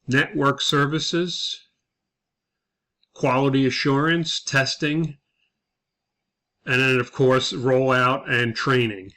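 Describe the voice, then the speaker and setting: A man speaks as an instructor walking through lesson material. His voice sits at an average male pitch, and his delivery is measured and explanatory. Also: bright, forceful tone. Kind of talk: lecture explaining a case study.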